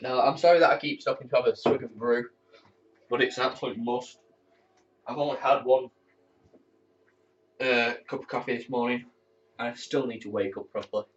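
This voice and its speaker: A teenage cadet corporal chatting candidly to camera at home, rambling and swearing now and then. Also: male voice, medium pitch, fairly even intonation, moderate pace, pauses often. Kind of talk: casual talk to camera. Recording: distant, muffled mic.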